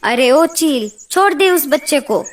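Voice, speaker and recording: A woman's voice: high-pitched, expressive, measured pace. A woman voicing a worried but brave mother sparrow in an earnest, emotive way for a children's cartoon story. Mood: earnest, grave.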